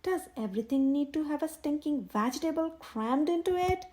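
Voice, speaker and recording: A woman's voice: high-pitched, lively intonation, normal speed, a little mellow. A woman voicing a suspicious, dramatic preschool boy in a storybook, confiding and complaining. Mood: outraged. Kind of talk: children's storybook read-aloud.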